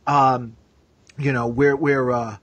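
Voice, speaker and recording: A man hosting a casual discussion, chatty and thinking out loud as he goes. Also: mid-range voice, little pitch movement, takes it slowly, breathy edge, no echo. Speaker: podcast host.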